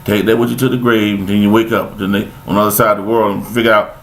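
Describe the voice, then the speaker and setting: A man's voice: fairly low pitch, level tone, fast talker, gravelly, slight background noise. An older man, talking in a rambling, preachy monologue, repeating himself with folksy sayings. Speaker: vlogger giving life commentary.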